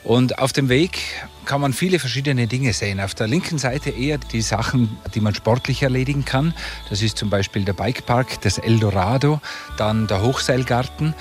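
A man's voice: mid-range voice, normal speed, soft background music. A man from Tyrol with a Tyrolean Austrian accent, talking conversationally as a local guide. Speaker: local guide being interviewed. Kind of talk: conversational guided commentary on the region.

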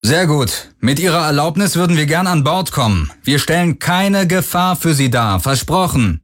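A man's voice: on the high side, lively intonation, moderate pace, pitched above the performer's own voice. A man voicing a rugged, cunning boss in an audiobook, firm and commanding in manner. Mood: reassuring. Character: rugged, cunning male boss, combative and urgent.

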